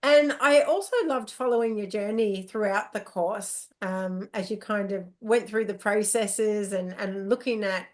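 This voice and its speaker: A woman's voice: medium pitch, a little slow, few pauses, darkish tone. A woman hosting a podcast, who welcomes her guest and asks questions in a friendly way. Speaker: podcast host. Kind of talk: podcast interview.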